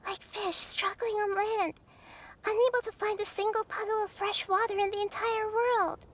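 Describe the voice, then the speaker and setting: A woman voicing a young child goddess who speaks softly and earnestly, pleading for calm. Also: very high voice, normal speed.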